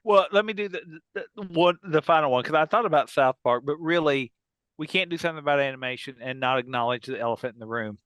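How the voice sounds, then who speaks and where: Man with smooth, dry-recorded voice chatting casually. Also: a little high, fast talker, a bit bright, dry recording. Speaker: podcast host. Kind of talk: casual joking panel chat.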